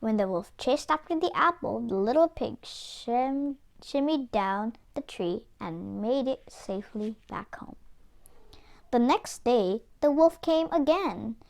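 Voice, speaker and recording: Slow, animated woman reading a storybook. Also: on the high side, creaky. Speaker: children's book reader. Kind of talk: expressive storybook read-aloud narration.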